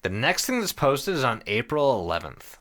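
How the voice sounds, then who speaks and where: Animated, bright-voiced man recounting a story. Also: mid-range voice, normal speed. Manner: profane, animated retelling. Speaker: podcast host. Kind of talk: casual storytelling recap with co-hosts.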